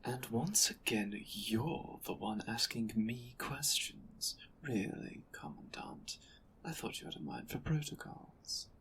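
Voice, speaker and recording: An imperious board leader, acted by a man, condescending and menacing, with very swooping, animated pitch. He speaks slowly and deliberately, as in an audio drama, over a faint bed of ambience. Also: a little hiss or hum. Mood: stern, impatient. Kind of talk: audio drama roleplay acting.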